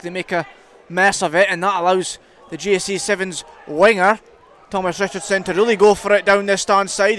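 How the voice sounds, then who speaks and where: A man's voice: sits high, fairly bright tone. A Scottish man giving live play-by-play commentary on a rugby match. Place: commentary box at a rugby ground. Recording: outdoor ground with clear background noise.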